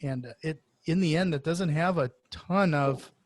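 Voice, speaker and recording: Higher-pitched male podcast host, dry sound. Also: dry recording. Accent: Norwegian-influenced. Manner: wordy, halting delivery with repeated words. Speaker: interview show host. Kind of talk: conversational podcast interview.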